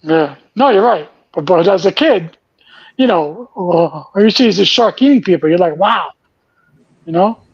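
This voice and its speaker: Very high-voiced man, swearing and joking. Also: expressive, normal speed, slightly rough, dry recording, lo-fi sound. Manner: profane, jokey, laughs often. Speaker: podcast co-host. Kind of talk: casual movie chat.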